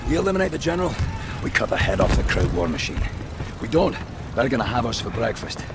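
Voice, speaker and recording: An actor playing a gruff, commanding army officer with a Scottish accent in a video game, blunt and barking. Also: male voice, mid-range voice, very animated, fast talker, heavy background noise. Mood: stern, urgent. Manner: barking, blunt military briefing. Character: gruff, commanding, foul-mouthed male army officer rallying troops. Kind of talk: video game cutscene acting. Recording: loud music and battle sounds behind.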